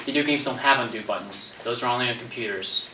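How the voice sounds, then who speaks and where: Man acting as indignant pedantic survivor, piercingly bright voice, amateur zombie play, roomy far-off recording. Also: medium pitch, fast. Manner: pedantic, protesting. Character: indignant, nitpicking young survivor accused of being infected. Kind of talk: amateur one-act stage play. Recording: distant echoey stage recording with hiss.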